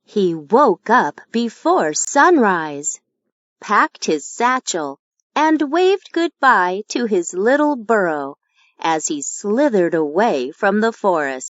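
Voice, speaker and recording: A woman reads a children's picture book aloud in an unhurried, gentle storytelling manner. Her pitch moves expressively through each line. The recording is dry and slightly dull. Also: very animated, no echo. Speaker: storybook reader. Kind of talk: children's read-aloud narration.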